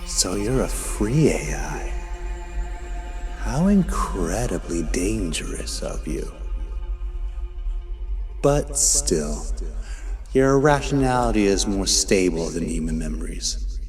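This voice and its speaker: Man as a slow, theatrical, wry technician. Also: sits low, very animated, exaggerated voice. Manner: theatrical, drawn-out phrasing. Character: wry, chatty male memory technician, musing and theatrical. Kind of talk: radio drama acting. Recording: loud music behind voice.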